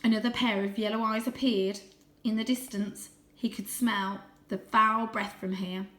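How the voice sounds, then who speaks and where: A woman reading a children's story aloud in an expressive, suspenseful, animated way. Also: mid-range voice, measured pace, roomy sound, low-quality recording. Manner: animated, suspenseful, with gasped asides. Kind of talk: dramatic, expressive audiobook-style story narration.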